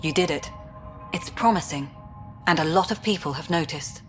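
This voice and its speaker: An actress playing a pragmatic colonial director in a video game, talking in a candid, official manner. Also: female voice, low voice, normal speed, soft background music, natural voice. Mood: earnest, approving. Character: brisk, pragmatic female colonial director, guardedly approving. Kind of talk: video game character acting.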